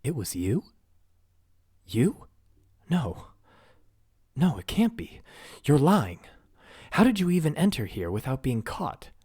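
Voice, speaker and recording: A man voicing a young giant princess who is proud and quick-tempered, his voice pushed up high as she stammers in indignation. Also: a little mellow, breathy edge, no echo, studio quality, full character voice. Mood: agitated. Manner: pitched far up, stammering, indignant.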